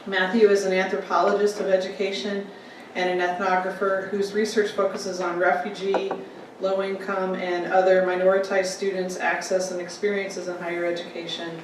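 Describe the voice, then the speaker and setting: A woman reads panelist introductions to a seated audience, stopping often on "um" and "uh." Her pitch stays flat and even. She sounds distant from the microphone in an echoing room with faint background noise. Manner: halting, many ums and restarts. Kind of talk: formal introductions. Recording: echoey room from a distant mic.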